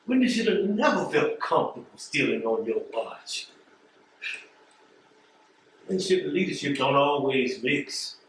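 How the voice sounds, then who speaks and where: An actor playing a blunt, disappointed postal carrier who lectures emphatically in a stage play. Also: male voice, fairly high pitch, fairly bright tone. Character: blunt, disappointed male postal carrier, preachy old friend. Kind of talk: stage play acting, dramatic dialogue. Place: theatre stage. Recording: roomy stage, distant mic, faint noise.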